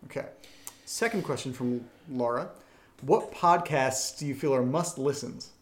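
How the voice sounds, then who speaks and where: A man's voice: mid-range voice, measured pace. Male podcast host in an echoey room. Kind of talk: casual chat, reading listener questions.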